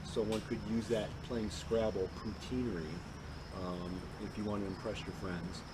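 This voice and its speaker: Raspy-voiced man, low and hoarse. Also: fairly even intonation, normal speed. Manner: rambling, chatty storyteller. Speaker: podcast co-host. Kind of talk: casual chat over beers. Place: outdoor patio. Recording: noisy outdoor recording.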